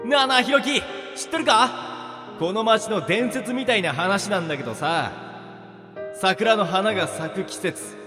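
A man's voice: high voice, unhurried. A man playing a young, gossipy male friend in a radio drama, speaking casually and confidingly, as if teasing. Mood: secretive, intrigued. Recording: echoey, long reverb, music behind. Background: music.

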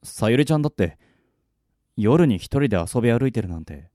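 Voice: mid-range voice, animated, a little fast, mellow, no room sound, lightly acted. An actor playing a cool, level-headed teenage schoolboy in a Japanese audio drama, talking in a casual, dry, frank way. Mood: dry, unimpressed. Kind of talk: Japanese anime-style audio drama acting.

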